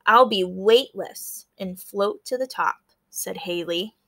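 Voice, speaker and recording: A woman teacher reading a book aloud to fourth graders, pausing to explain and prompting them to notice and wonder. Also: mid-range voice, sharp tone. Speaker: elementary school teacher. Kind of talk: read-aloud lesson for fourth graders, explaining as she goes.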